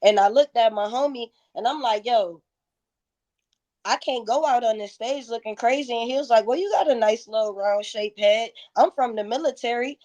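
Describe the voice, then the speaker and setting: A woman's voice: fairly high pitch, normal speed, dark tone, lo-fi sound. A woman in her thirties telling stories candidly in a casual interview, with slangy, profane, emphatic phrasing. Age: 30s. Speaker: interview guest, music performer.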